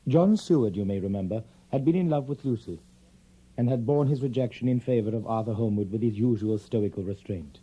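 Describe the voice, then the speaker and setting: An actor playing a grave Victorian gentleman who narrates an audio drama in a formal, measured way. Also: fairly low pitch, husky. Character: grave Victorian gentleman recounting past tragic events. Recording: muffled, music behind.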